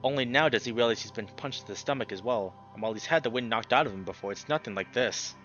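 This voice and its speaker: A man narrating a visual novel aloud as a let's player, dramatic and expressive, swearing freely in casual reactions. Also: medium pitch, quick pace, dull audio. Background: music.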